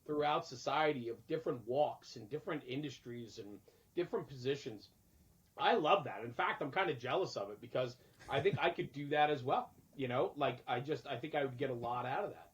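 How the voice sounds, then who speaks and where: A man's voice: mid-range voice, a little fast, rough recording. A man hosting a casual podcast conversation, speaking earnestly and reflectively, often posing rhetorical questions. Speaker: podcast host.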